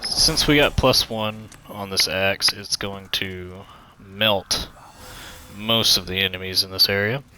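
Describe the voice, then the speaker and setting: A man's voice: deep voice, takes it slowly, bright, forceful tone, a little hiss or hum, rough recording. A man with a somewhat nasal voice giving laid-back, joking commentary on a game walkthrough through a headset mic that pops and cracks. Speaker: gaming channel host.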